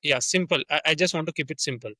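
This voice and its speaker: A man's voice: a little high, fast talker, pushed and bright, no room sound, studio quality. A young man with an Indian accent, a sales rep talking through his work in a casual interview, earnest and full of restarts and fillers. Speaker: sales development representative, guest.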